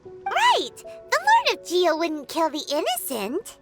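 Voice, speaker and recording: A woman's voice: very high voice, huge pitch swings, slow, over music, heavily acted. A woman voicing a tiny, feisty fairy companion in a video game, with a childlike, indignant manner. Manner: childlike, indignant, speaks of herself by name. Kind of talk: anime-style video game voice acting.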